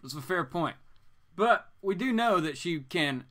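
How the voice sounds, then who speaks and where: Animated bright-voiced man riffing on comics. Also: fairly high pitch, measured pace. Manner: animated, joking, theatrical. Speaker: host reading comics aloud. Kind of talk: informal dramatic comic reading with asides.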